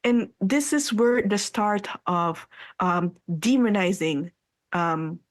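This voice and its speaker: A woman's voice: mid-range voice, takes it slowly, no echo. Woman, tagalog first language, chatty podcast co-host, lots of likes and you knows, dry close sound. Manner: animated, thoughtful, many fillers and restarts, slips into French. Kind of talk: casual chat.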